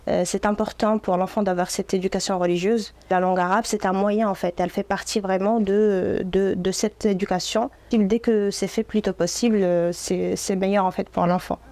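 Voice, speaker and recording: A woman in her thirties from Morocco, an Arabic speaker, answering interview questions as an Arabic teacher in an earnest, gentle, measured way. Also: mid-range voice, fairly even intonation, dry recording.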